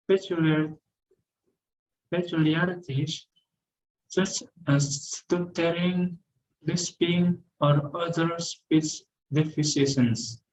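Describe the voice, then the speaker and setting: A young man, a student reading a class presentation carefully, pausing and correcting himself. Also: a little high, darkish tone, lo-fi sound, a little hiss or hum.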